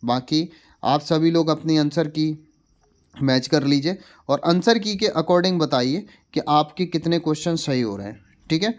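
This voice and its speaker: Guy teaching, kinda high voice, informal, keeps asking then answering himself. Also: unhurried. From India. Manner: repeats points as questions and answers them, with check-in tags. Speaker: coaching teacher. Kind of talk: informal exam analysis explainer.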